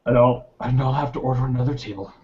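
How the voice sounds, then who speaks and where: A man's voice: medium pitch, steady tone, quick pace, background noise, natural voice. A man playing a dry, courteous male bar owner who speaks in clipped phrasing with dropped articles, over lo-fi voice chat. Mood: dry, amused.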